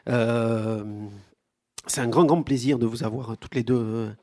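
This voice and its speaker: Halting male host. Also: medium pitch, fairly even intonation, a little mellow. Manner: halting, many fillers and repeats. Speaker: event host. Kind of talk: moderating a bookshop talk. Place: bookshop event room.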